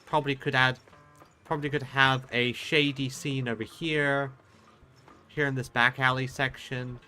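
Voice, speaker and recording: A man streaming a game with casual commentary, halting and repeating words as he goes. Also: medium pitch, level tone, sharp tone, faint music behind.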